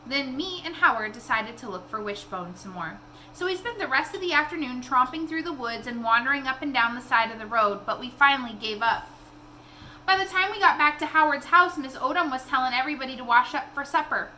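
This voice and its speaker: A woman reading a children's novel aloud in an expressive storytelling style. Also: high-pitched, fairly quick, slight background noise, poor audio.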